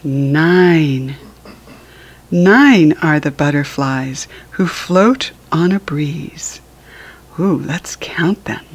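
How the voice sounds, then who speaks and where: Slow, husky American woman reading to children. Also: low-pitched. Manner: encouraging, sing-song, deliberate enunciation for children. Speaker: children's book reader and teacher. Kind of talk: read-aloud counting lesson for young children.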